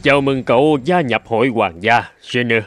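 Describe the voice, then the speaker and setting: A man's voice: medium pitch, normal speed, a bit bright, no room sound, close to an everyday voice. Grave, paternal older physician played by a man. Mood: welcoming, formal. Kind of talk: dubbed cartoon dialogue.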